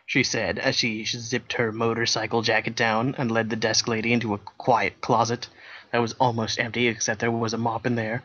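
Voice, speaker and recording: A man narrating a comic fan-fiction story in a deadpan way, with casual asides, on a slightly dull recording. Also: medium pitch, normal speed. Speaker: co-reader, narrator.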